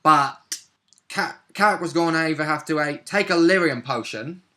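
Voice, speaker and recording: A man's voice: fairly high pitch, normal speed, sharp tone. A man casually recapping a tabletop role-playing session as one of the players.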